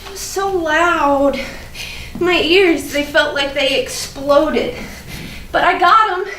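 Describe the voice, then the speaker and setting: A woman playing an innocent, chatty but fearful first-grade girl in a theatrical monologue, halting and repeating her words. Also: high voice, a bit bright. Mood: frightened. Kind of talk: theatrical monologue at a staged reading. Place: indoor room at a live staged reading. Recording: roomy, distant, noisy live stage recording.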